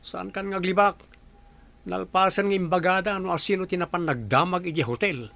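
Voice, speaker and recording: Man playing a creaky, high-pitched elderly Ilocano grandfather. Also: fairly even intonation, moderate pace, sharp tone, no echo, exaggerated voice. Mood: worried, questioning. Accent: Ilocano Filipino. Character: elderly grandfather, worried and questioning. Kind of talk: amateur audio drama acting.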